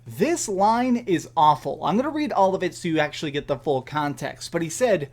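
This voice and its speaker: A man's voice: on the high side, expressive, moderate pace. Gaming youtuber guy, downbeat and earnest, voice moves up and down a lot. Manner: downbeat, earnest, reads out quotes. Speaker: gaming video creator. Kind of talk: video commentary clip played in a reaction.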